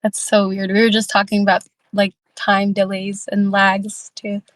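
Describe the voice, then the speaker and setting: Female discussion participant, reflective and tentative, even-toned, dry recording. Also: medium pitch, normal speed, dry recording. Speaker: book club discussion participant and facilitator. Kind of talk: casual reflective group discussion.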